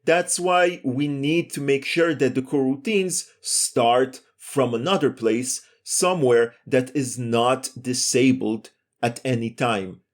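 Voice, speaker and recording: A man's voice: mid-range voice, slow, few pauses. A man giving a step-by-step screencast programming lesson in a methodical, explanatory way. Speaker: programming tutorial instructor.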